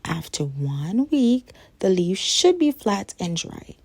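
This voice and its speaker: A woman reading a children's nonfiction picture book aloud in a measured, expressive way. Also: medium pitch, no room sound.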